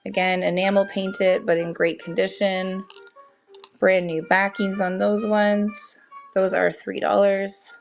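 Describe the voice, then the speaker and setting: A woman's voice: mid-range voice, flat delivery, slow, vocal fry. Chatty woman with a cold, lo-fi stream. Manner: chatty, describes items, greets viewers by name. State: has a cold, voice wearing thin. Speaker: live auction seller. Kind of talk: live-stream selling chat. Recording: dull lo-fi stream with faint music.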